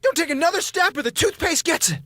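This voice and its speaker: An actor playing an excitable, sarcastic comms officer on a space station in an audio drama, rambling and melodramatic. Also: male voice, very high voice, quick pace, no room sound, clean studio sound, full character voice. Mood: defiant, agitated. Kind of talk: modern audio drama in Golden Age radio style.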